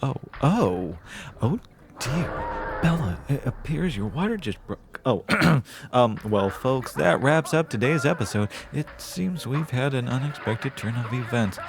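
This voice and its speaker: A man's voice: mid-range voice, lively intonation, a little slow, gravelly. A man playing a cheery, genteel old-time radio host, speaking in an effusive, theatrical and formal announcer manner. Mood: flustered. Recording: music behind the voice.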